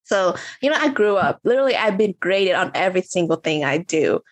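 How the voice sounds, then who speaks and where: A woman's voice: lowish voice, very animated, brisk, dry recording. A woman from Vietnam, a coach and podcast guest, talking in a rambling, casual way with many fillers and restarts. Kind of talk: casual interview chat.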